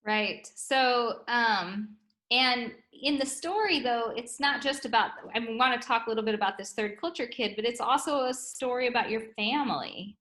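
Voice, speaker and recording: Bright-voiced woman interviewer. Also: fairly high pitch, normal speed. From US-Mexico border. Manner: friendly and engaged, with many fillers. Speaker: publisher and interviewer. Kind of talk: conversational interview questions.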